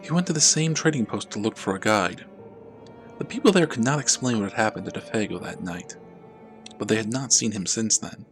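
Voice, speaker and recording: A man narrating a scripted horror story as a storyteller, in a measured, dramatic way. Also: on the low side, expressive, faint music behind. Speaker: storyteller, channel host.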